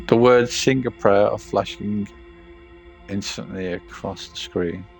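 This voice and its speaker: A man with a low, gravelly voice narrates a video game as he plays it. He reads on-screen text aloud, then drops into casual muttered reasoning about his choices. Music plays underneath. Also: steady tone, measured pace, poor audio. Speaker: game streamer.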